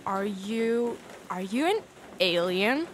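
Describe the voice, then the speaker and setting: A woman acting a suspicious, edgy armed survivor in an audio drama, asking halting questions. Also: mid-range voice, lively intonation, a little hiss or hum. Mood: bewildered, suspicious.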